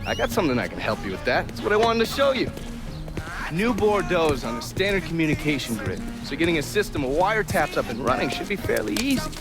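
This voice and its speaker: A man's voice: fairly high pitch, very animated, fast talker, over music. An actor playing a cynical, hot-tempered male intelligence operative in a video game, brash and talkative. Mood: briefing, confident. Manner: brash, foul-mouthed, talkative.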